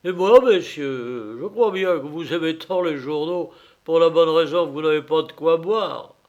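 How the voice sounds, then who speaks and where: Guy putting on a rowdy masked drunk, loud and mocking, kinda high, drawn out. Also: a little slow, muffled recording. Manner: loud, jeering, commanding.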